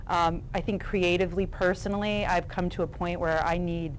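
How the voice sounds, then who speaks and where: A woman's voice: fairly low pitch, fairly even intonation, normal speed, a little hiss or hum, poor audio. A middle-aged woman speaking casually and reflectively as a theater maker being interviewed.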